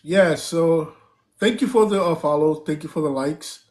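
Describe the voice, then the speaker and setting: A man's voice: on the high side, a little slow, darkish tone. A man hosting a live stream, chatting casually, thanking viewers and stopping often with fillers.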